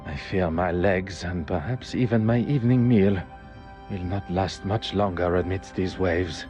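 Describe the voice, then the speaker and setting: A courteous, self-assured game detective with a Belgian French accent. His voice sits low, and he picks his words precisely and formally. Music plays clearly behind him. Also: unhurried, a little mellow. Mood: brooding, deliberate. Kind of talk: scripted game dialogue and narration.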